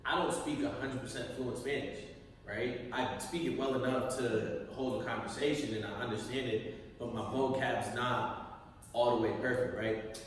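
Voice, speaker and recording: A young man from Connecticut, co-hosting a podcast in casual chat, animated and profane as he tells stories. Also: mid-range voice, normal speed. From Connecticut, USA. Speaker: podcast co-host. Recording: echoey room, far from mic.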